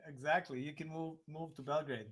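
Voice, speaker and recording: A man with a Serbian accent hosts a casual livestream interview. He is relaxed, laughs easily and answers with short agreeing words. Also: on the high side, fairly quick. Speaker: show host.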